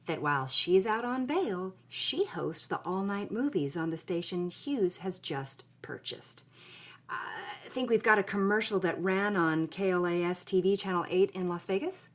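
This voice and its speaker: An actress playing an amused investigator, explaining events in conversational storytelling narration. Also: female voice, on the low side, expressive, a little slow.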